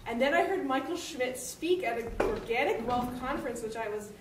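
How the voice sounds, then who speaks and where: Panel speaker, woman farmer, bright higher voice, candid, echoey distant hall sound with noise. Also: moderate pace. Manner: candid, lively, laughs often. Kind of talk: symposium panel talk. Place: university lecture hall. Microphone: room microphone at a podium. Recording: echoey hall, far from mic, noisy.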